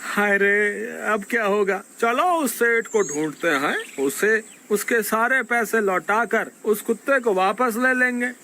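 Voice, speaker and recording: High-pitched bumbling village crook, played by a man. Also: measured pace, few pauses, pushed and bright, slight background noise. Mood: panicked. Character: bumbling, scheming village crook, easily panicked. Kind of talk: Hindi cartoon moral story acting.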